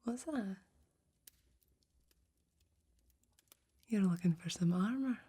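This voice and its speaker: A woman playing a friendly, folksy fantasy blacksmith in a soft-spoken, mostly whispered ASMR roleplay, with gentle, quaint old-fashioned phrasing. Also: mid-range voice, moderate pace, slightly rough, close to an everyday voice. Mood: gentle, helpful.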